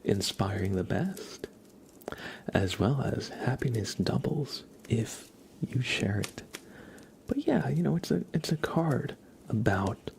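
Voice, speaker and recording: A man hosting an ASMR livestream, giving card readings in a gentle, halting way as he thinks aloud. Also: mid-range voice, lively intonation, creaky, a little hiss or hum. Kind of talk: whispered ASMR, casual tarot card reading.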